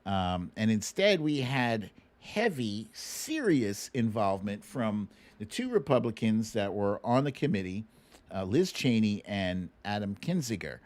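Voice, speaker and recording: A man's voice: on the low side, sing-song, measured pace, darkish tone. An American man hosting a live show with casual, joking commentary, speaking informally to his viewers. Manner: informal, joking, laughs easily. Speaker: host of a live web show.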